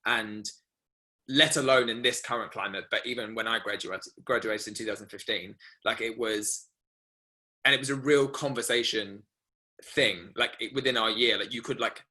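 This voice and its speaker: A young man hosting a class, telling a rambling story full of fillers and lively quoted asides. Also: fairly low pitch, moderate pace. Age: young adult. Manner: rambling, filler-heavy, animated retelling. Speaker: masterclass host. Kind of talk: casual storytelling to an online class.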